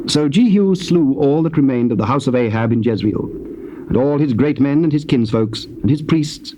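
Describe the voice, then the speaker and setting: British guy narrating bible drama, solemn, medium pitch, noisy old cassette. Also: expressive, normal speed, mellow.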